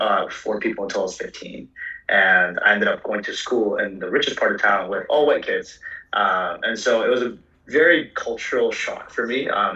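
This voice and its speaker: Young man, earnest, quick delivery, bright edge, distant lo-fi sound. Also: on the low side. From South Korea. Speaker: panelist. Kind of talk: panel discussion. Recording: echoey, distant, lo-fi.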